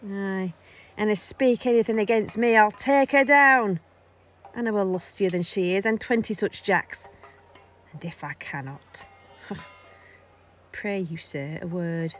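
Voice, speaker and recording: A woman's voice: mid-range voice, a little slow, long pauses, soft background music, natural voice. Fussy, blustering nurse on a dull recording, acted by a woman. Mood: indignant bluster. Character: garrulous, easily affronted, earthy female nurse. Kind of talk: Shakespeare audio drama acting. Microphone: phone. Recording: dull home phone recording.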